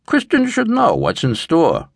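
A man voicing a middle-aged loan shark, cool and calculating, with a measured, businesslike, streetwise manner. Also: a little high, wildly swooping pitch, gravelly, husky, no echo, clean studio sound, voice pushed up, exaggerated voice.

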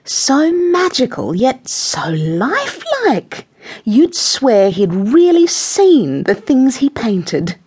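Storybook busybody, older woman played by a female reader: fussy, insistent, gushing, highly animated intonation. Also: slow pace, slightly rough, full character voice. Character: fussy, gossipy older woman neighbour, sugary and sharp. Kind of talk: children's audiobook character voice.

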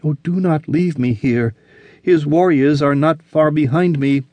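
A man's voice: a little high, lively intonation, measured pace, no room sound, lo-fi sound, voice pushed up. A man voicing a terrified young captive woman who pleads in a raised, frantic voice. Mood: pleading.

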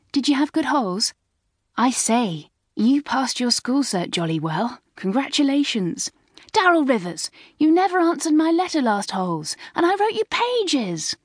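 A boisterous teenage schoolgirl, put on by a woman reader calls out in a high, exclamatory voice. It is a storybook character, recorded close and dry. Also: very animated, measured pace, no echo, full character voice. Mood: cheerful. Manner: calling out, exclamatory. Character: lively, boisterous teenage schoolgirls greeting friends.

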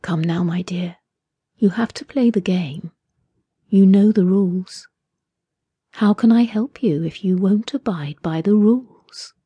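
A calm, menacing male captor breathes out his threats in a hushed whisper, voiced by a woman. The tone is dark and mellow, the delivery unhurried and emotionless, close and dry. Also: mid-range voice, husky, no room sound, lo-fi sound.

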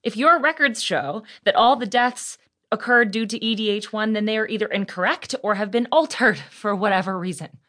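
A woman's voice: mid-range voice, moderate pace, pushed and bright, lightly acted. An actress playing a defiant, determined survivor reading out her emails in a firm, blunt way for an audio drama. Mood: insistent, frustrated.

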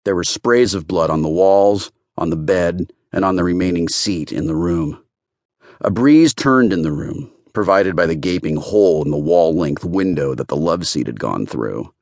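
Deep-voiced man narrating, animated intonation. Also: moderate pace, no echo, studio quality. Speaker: audiobook narrator. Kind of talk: first-person scripted fiction narration.

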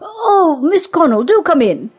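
A woman's voice: fairly high pitch, huge pitch swings, slow, darkish tone, full character voice. A woman voicing a frightened middle-aged male executive who pleads desperately. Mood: nervous.

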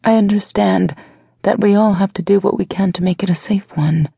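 A woman's voice: mid-range voice, normal speed, gravelly, warm tone, slightly rough, no echo, clean studio sound, voice pushed up. A woman reading an audiobook voices a fiery, devoted young woman who scolds and pleads with her partner. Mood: emotional. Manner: pleading, insistent.

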